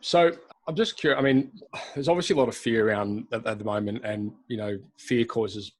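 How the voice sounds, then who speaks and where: A man's voice: mid-range voice, brisk. A man hosting a podcast panel, asking curious, rambling questions full of fillers. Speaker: podcast host. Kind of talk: casual panel discussion.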